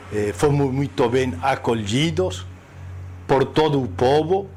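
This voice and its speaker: Older man, Spanish-accented priest, very slow and hesitant, faint music behind. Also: medium pitch, a little mellow. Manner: slow, hesitant, with many pauses and fillers. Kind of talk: interview recollection.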